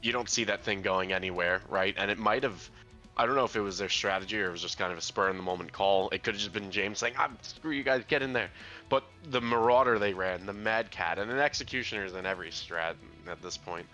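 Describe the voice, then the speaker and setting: A man's voice: lowish voice, fast talker, sharp tone, muffled recording, faint music behind. A man giving casual esports analysis, conversational and hedging his opinions. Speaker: guest analyst.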